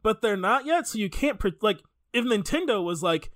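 A man's voice: high voice, animated, moderate pace, a bit bright, no echo, studio quality. A man co-hosting a podcast, talking casually about video games in a rambling, stop-start way. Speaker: podcast co-host.

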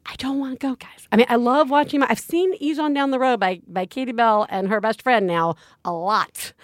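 A woman's voice: fairly high pitch, a little fast, no echo, studio quality. A woman with a Southern US accent hosting a podcast in casual chat, rambling, laughing often and mocking herself. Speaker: podcast host.

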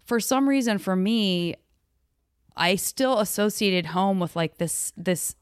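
A woman's voice: medium pitch, expressive, takes it slowly, clean tone, no room sound, studio quality. A woman from Arizona hosting a podcast, talking in a chatty, rambling way with many restarts. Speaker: podcast host. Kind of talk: casual interview chat.

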